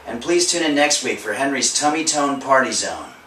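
A young man delivers workout instructions in a bright, clear voice with a straight, earnest face, stumbling and restarting mid-sentence. He is recorded from across a small bedroom on the camera mic, with a roomy echo. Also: medium pitch, big pitch swings, moderate pace, slight background noise. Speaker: host of a home workout parody. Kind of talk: deadpan fitness instruction. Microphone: camera mic from across the room. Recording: echoey room, voice a bit far from mic.

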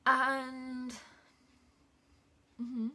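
A woman's voice: sits high, monotone, extremely slow, sharp tone. A young woman vlogging casually from her bedroom, rambling with lots of fillers and little giggles. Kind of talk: casual vlog chat. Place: bedroom, indoors. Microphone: phone camera at arm's length.

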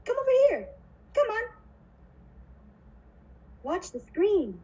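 A woman voicing an eccentric, chirpy male factory owner in a puppet show, theatrical and animated. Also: very high-pitched, slow pace, highs cut off, full character voice. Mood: bossy, excited.

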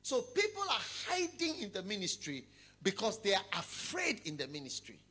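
Emphatic preacher man, high and lively. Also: normal speed, breathy edge. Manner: emphatic, repeats phrases, swings between hushed and loud. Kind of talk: sermon. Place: large hall with audience. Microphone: handheld microphone.

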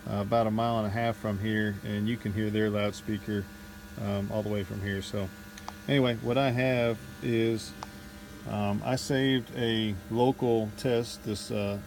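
A man casually narrating a field test off the cuff, thinking aloud as he works, recorded outdoors on a cell phone. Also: lowish voice. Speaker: vlogger testing drone gear. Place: outdoors at night in a neighborhood. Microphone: handheld cell phone. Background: outdoor ambience and passing vehicle noise.

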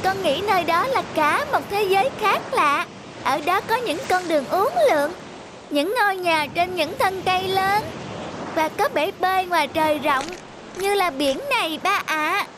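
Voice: very high voice, normal speed, noisy background. A performer voicing an eager, curious, dreamy little boy in a cartoon. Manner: bright-eyed, wondering. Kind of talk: animated moral tale dialogue. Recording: music behind the voice.

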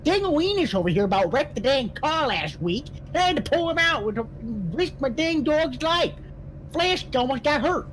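A man playing an excitable, grumbling, bumbling Southern county sheriff in comic game roleplay, full of folksy griping. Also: very high-pitched, moderate pace, slight background noise, exaggerated voice, low-quality recording. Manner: folksy griping, mild cussing.

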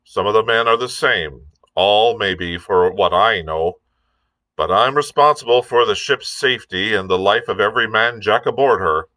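A man's voice: fairly low pitch, moderate pace, sharp tone, dry recording, voice pushed up. A man reading a storybook, voicing a blunt, stern and prickly sea captain in an emphatic, clipped manner. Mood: firm, indignant. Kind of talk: audiobook character reading.

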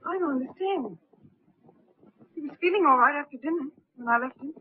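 A woman's voice: sits high, expressive, fairly quick. An actress in a 1930s film playing a guarded, anxious young society woman. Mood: shocked. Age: young adult. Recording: tinny, narrow-band 1930s film soundtrack.

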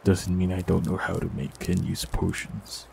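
Deep gravelly man, calm roleplay. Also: moderate pace, background noise. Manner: calm, gentle, softly teasing. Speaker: audio roleplay voice actor. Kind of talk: scripted fantasy roleplay, warlock character.

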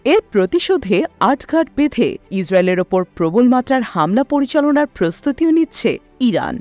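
Female news voice-over, fairly high register, very varied melody, reading from a script. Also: sing-song, a little fast, darkish tone, dry recording. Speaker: news narrator. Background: background music.

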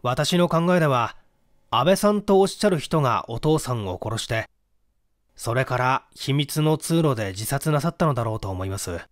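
Male reader as confident private detective, courteous and explanatory, lively intonation, dry audiobook recording. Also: mid-range voice, normal speed, dry recording, voice pushed down, lightly acted. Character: confident male amateur private detective, polite and self-satisfied.

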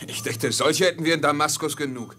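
An actor playing a dutiful, level-headed servant, measured and deferential with a dry touch, in a 1950s radio play. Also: male voice, fairly high pitch, close to an everyday voice. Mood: sober, dry. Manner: measured, deferential, poetic phrasing. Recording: 1950s mono radio recording with music.

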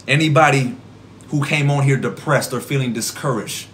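A young man in his twenties, an evangelist from the American Midwest, praying fervently in a rhythmic, repeating cadence with a voice gone hoarse. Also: mid-range voice, normal speed, fairly bright tone, a little hiss or hum. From Rockford, Illinois. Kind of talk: livestream prayer and preaching. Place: small indoor room at home. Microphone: phone camera at arm's length.

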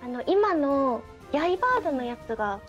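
A woman's voice: high-pitched, sing-song, normal speed, highs cut off, soft background music. A woman co-hosting a radio show in casual chat, speaking in a polite, deferential way.

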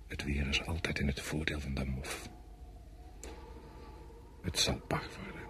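Casting: bitter soldier, very low flat half-whisper, muttering, for a war radio play. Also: male voice, measured pace, heavily acted. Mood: bitter, weary. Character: bitter, weary male soldier in the trenches. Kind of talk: radio drama acting.

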